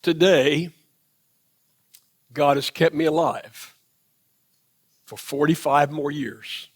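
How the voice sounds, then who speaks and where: Man preaching, southern accent, high-pitched voice, reflective church sermon. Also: steady tone, slow pace, husky. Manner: reflective, paraphrases scripture in first person. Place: church sanctuary.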